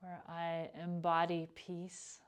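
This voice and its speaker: Calm lady doing a yoga nidra meditation, really smooth clear voice, gentle and takes her time. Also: lowish voice. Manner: calm, gentle, unhurried with pauses. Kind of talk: guided meditation instruction.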